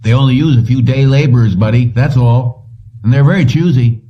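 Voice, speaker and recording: A man's voice: mid-range voice, fairly quick, voice pushed up, lightly acted. A man voicing a wary, curt small-town cab driver with clipped, colloquial speech in an audiobook. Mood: guarded. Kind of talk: audiobook character dialogue. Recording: dull lo-fi, distant from mic.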